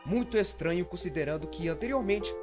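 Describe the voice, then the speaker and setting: A man's voice: sits high, lively intonation, a little fast. A man with a Brazilian accent narrating a horror story in a scripted, dramatic style with informal, slangy asides. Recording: loud music under the narration.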